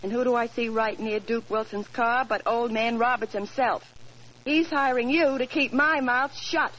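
A woman's voice: on the high side, steady tone, moderate pace, lightly acted. An actress playing a brash, scheming young woman with a bold, coaxing manner in a 1940s radio drama. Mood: sly, knowing. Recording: noisy vintage 1940s radio recording.

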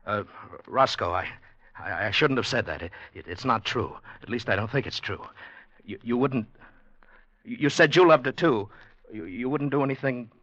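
A man's voice: medium pitch, lively intonation, moderate pace, a bit bright, dry recording. An actor playing an anxious lovelorn man in a radio drama, earnest, stammering and pleading, in 1940s radio style. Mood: nervous. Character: anxious lovelorn man trapped among sinister secret dwellers. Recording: dull vintage radio recording with music.

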